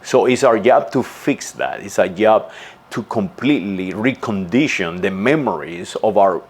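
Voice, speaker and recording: A man's voice: fairly low pitch, animated, husky. A man delivering an earnest, insistent monologue as a channel host, often stumbling and repeating words before going on. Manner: halting, with frequent restarts and repeated words. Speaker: channel host giving a commentary. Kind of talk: unscripted spoken monologue.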